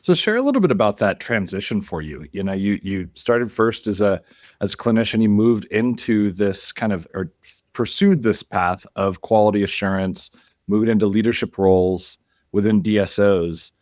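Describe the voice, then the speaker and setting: Man, podcast host, very low voice, halting repeated words, dry close mic. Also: animated, darkish tone, no room sound. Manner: halting, repeats words while framing questions. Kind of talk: interview questions.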